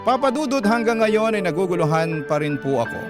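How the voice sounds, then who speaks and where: Filipino male radio storyteller, slightly high-pitched, dramatic letter reading over a prominent music bed. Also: big pitch swings, fairly quick. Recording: loud music bed under narration.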